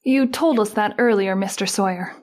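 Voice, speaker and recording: A woman's voice: mid-range voice, moderate pace, a little mellow, natural voice. A woman acting as an earnest, anxious member of a mystery household in an old-time radio drama. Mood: polite, puzzled.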